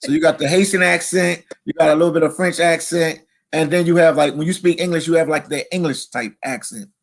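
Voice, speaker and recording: A man's voice: fairly high pitch, fairly even intonation, a little fast, creaky. A man hosting a casual live stream, reading viewer comments aloud and chatting.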